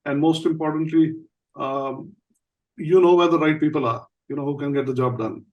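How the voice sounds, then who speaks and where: A man's voice: a little high, steady tone, moderate pace, distant mic, lo-fi sound. A man hosting a business podcast, asking loose, meandering questions in a conversational way. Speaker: podcast host. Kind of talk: interview questions, casual conversation.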